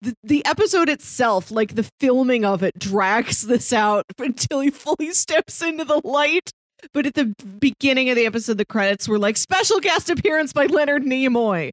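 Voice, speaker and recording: A woman's voice: high-pitched, lively intonation, moderate pace, no echo. A woman co-hosting a podcast in a casual, wry, conversational way. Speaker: podcast co-host. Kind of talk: casual chat.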